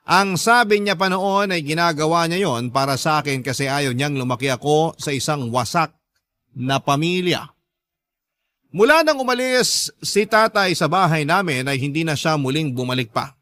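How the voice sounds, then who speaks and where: A male radio host reads a listener's story in the first person. His voice sits a little high, with measured, sentimental phrasing. The recording is dry and intimate. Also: fairly bright tone, no room sound.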